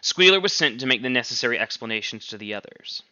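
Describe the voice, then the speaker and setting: A male teacher reading a novel aloud to his class with expressive, clear phrasing. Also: mid-range voice, fast, no echo, lo-fi sound.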